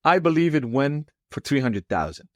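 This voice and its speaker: A man co-hosting a casual podcast chat, thinking aloud in a measured way with pauses. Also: fairly high pitch, sing-song, dry recording, clean studio sound, soft background music. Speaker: podcast co-host.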